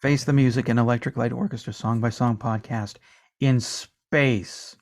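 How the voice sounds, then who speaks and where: A man's voice: medium pitch, fairly even intonation, normal speed, darkish tone. A man co-hosting a casual music podcast, joking around and reading out listener comments. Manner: jokey, reads listener comments aloud. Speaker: podcast co-host.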